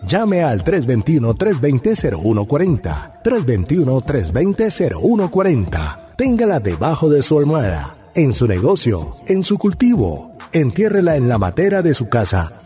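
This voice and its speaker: A man's voice: medium pitch, wildly swooping pitch, normal speed, dark tone, soft background music. A man announcing a radio commercial in a sweeping, sing-song selling tone.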